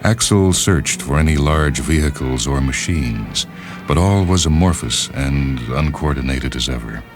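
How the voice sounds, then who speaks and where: A man reading literary fiction as a narrator, in a measured, deliberate and solemn dramatic style. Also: a bass voice, animated. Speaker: narrator, short story reader. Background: background music.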